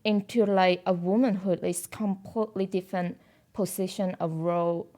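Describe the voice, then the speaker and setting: Woman guesting on a podcast, chatty, lots of "like", gets loud when she's making a point. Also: lowish voice, fairly even intonation, normal speed. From born in China, raised in Hong Kong. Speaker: guest, bridal shop owner. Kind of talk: casual podcast chat.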